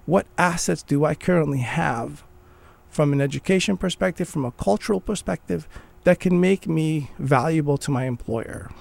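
Gravelly man in earnest conversation. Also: fairly high pitch, moderate pace, darkish tone, no echo. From Morocco. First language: Arabic. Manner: earnest, restarts phrases while thinking. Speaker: guest. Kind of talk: conversational interview.

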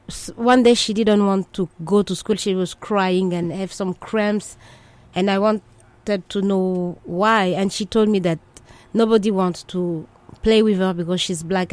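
A woman's voice: mid-range voice, a little mellow. A woman from the Democratic Republic of Congo with a Congolese French accent, telling her life story as an interview guest in hesitant, short English phrases. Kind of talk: conversational personal life story.

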